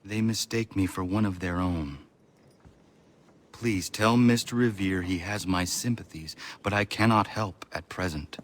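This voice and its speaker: An actor playing a resolute young assassin who speaks in an earnest, restrained way. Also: male voice, sits low, unhurried. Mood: reserved.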